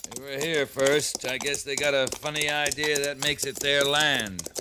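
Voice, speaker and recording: An American actor playing a wounded, steady army captain in an old radio western, speaking in a measured, understated, commanding way. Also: male voice, medium pitch, lightly acted. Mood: weary, dry. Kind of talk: 1950s radio western acting. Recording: noisy 1950s radio recording.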